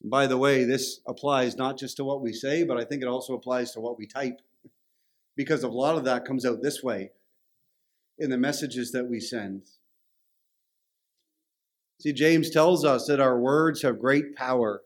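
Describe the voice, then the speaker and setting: A man's voice: medium pitch, steady tone, normal speed, long pauses. A man with a Canadian accent preaching a sermon in a conversational, storytelling way. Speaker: pastor.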